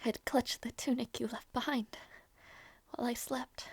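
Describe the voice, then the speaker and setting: High-pitched grieving young princess, voiced by a woman. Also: steady tone, husky, no room sound. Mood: mournful. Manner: halting, repeats phrases, emotional. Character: grieving young princess, devoted and stubbornly hopeful. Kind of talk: dramatic audio roleplay monologue.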